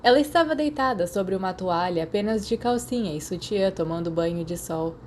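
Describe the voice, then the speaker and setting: A woman's voice: medium pitch, animated, a little fast, a little mellow, a little hiss or hum. A woman with a Brazilian accent reading a story aloud as an audiobook narrator. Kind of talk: scripted fiction narration.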